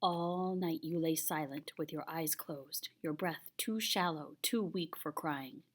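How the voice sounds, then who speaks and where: A woman's voice: sits low, measured pace. A woman voicing a patient, solemn old grandfather who tells a tale in a grave, tender way, reading a children's picture book. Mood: gentle, solemn.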